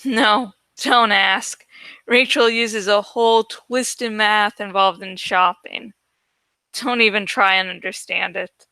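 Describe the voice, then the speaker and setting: A woman reading a gentle, slightly pouty teenage girl in a storybook, with an earnest, tentative manner. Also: medium pitch, fairly even intonation, slow, clear, resonant tone, dry recording, poor audio. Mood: teasing.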